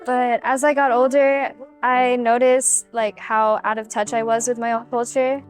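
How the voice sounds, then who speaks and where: Young woman, bright higher pitch, blunt and slangy, soft music bed. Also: little pitch movement, unhurried. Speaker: interviewee. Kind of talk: casual documentary interview.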